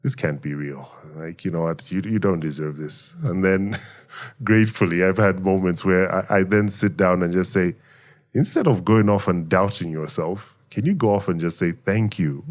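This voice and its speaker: A middle-aged man from Zambia, a voice actor giving thoughtful interview answers in a measured, reflective way. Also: sits low. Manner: measured, reflective, speaks of faith and gratitude. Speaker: voice actor and emcee, guest.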